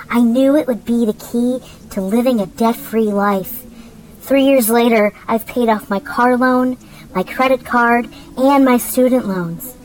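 A woman playing an earnest, grateful program graduate giving a deadpan testimonial in a parody commercial. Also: on the high side, close to an everyday voice, poor audio.

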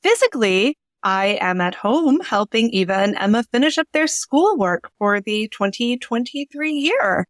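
A woman's voice: a little high, expressive, moderate pace, few pauses, dry recording, studio quality, lightly acted. A woman playing a wry, matter-of-fact imaginary ghostly friend in amateur comic radio theater. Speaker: podcast co-host.

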